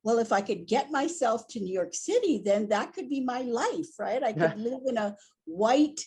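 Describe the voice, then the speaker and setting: An older woman from Hawaii, a guest in an interview, telling stories in an animated way and laughing easily. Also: a little high, moderate pace, husky. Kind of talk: casual interview.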